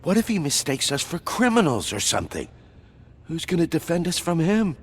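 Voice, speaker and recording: A man playing a worried, suspicious city resident in a video game street interview, with a husky voice. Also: a little high, big pitch swings, moderate pace, exaggerated voice.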